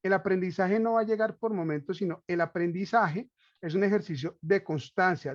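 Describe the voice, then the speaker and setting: A man's voice: sits high, a little mellow. A man giving a reflective, didactic lecture as a panel speaker, asking rhetorical questions and repeating key phrases. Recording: roomy echo, dull lo-fi sound.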